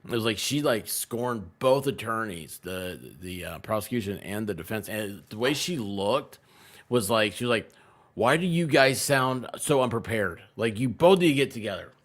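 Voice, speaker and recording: Male livestream guest, fairly low pitch, informal and rambling, with a self-deprecating way of talking. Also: lively intonation, normal speed. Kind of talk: casual chat.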